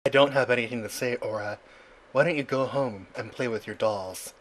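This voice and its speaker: An actor plays a cool male prosecutor in a video game fan dub. The pitch is medium. He is curt and composed, with dry sarcasm. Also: moderate pace, a bit bright, lightly acted, poor audio. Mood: curt, dismissive.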